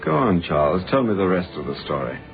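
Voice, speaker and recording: Music behind, dull radio play, curious confidant with a low voice. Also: male voice, big pitch swings, fast talker, gravelly, full character voice. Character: curious male confidant prompting a friend's story. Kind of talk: radio drama acting.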